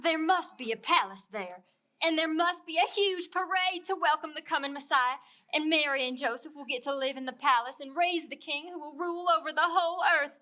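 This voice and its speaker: Woman playing an earnest, bright-voiced puppet donkey. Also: high voice, a little fast. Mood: hopeful, grand. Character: humble, devoted, proud female donkey hoping for glory. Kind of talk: puppet show storytelling.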